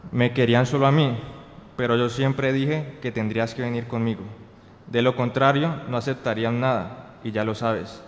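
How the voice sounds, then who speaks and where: A man's voice: mid-range voice, slight background noise, close to an everyday voice. A young man playing an impatient, dismissive, proud brother in an amateur radio drama, stiffly reading from a script. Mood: defensive. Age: young adult. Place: indoor green-screen studio. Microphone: handheld dynamic microphone held close. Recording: reverberant hall-like echo.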